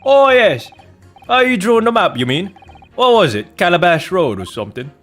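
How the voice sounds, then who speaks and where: A man's voice: high-pitched, very animated, normal speed, a bit bright, soft background music, voice pushed up. A man voicing a haughty, prejudiced upper-class woman juror in a courtroom game, with an affected, condescending manner.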